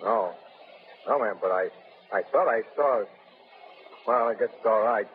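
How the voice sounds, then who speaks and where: An actor playing a plain-spoken, puzzled young army sentry in a 1930s radio drama, on an old broadcast recording. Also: male voice, fairly low pitch, a little slow, narrow, tinny audio, soft background music, close to an everyday voice.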